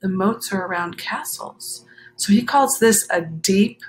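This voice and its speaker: A woman reading a children's picture book aloud expressively, with dramatic drawn-out phrasing and chatty asides. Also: medium pitch, low-quality recording. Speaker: children's story reader.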